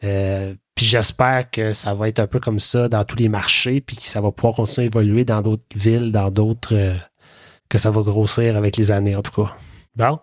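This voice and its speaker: A man's voice: lowish voice, flat delivery, moderate pace, no echo, clean studio sound. A man with a Quebec French accent speaking casually as the host of a sports podcast.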